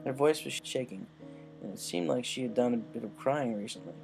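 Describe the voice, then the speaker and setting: A man narrates a written story. His voice sits at a middle pitch with noticeable vocal fry, and soft music plays faintly behind him. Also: a little fast. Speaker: narrator reading a fan-fiction story.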